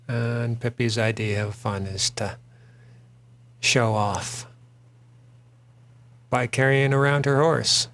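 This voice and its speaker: Slow-talking male artist host. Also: medium pitch. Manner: relaxed and rambling, frequent "uh" fillers. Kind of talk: drawing while talking, casual chat.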